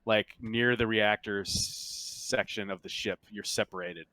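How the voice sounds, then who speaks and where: A man acting as game master, narrating and ruling casually, haltingly, often thinking aloud. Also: on the low side, steady tone, pushed and bright, no echo, low-quality recording.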